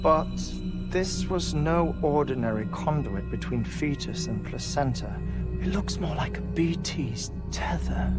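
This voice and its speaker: An actor playing a thoughtful, grief-driven and courteous scientist in a game cutscene, measured and theatrical with hesitant pauses. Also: male voice, medium pitch. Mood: fascinated. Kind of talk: dramatic game cutscene acting. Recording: music playing behind the voice.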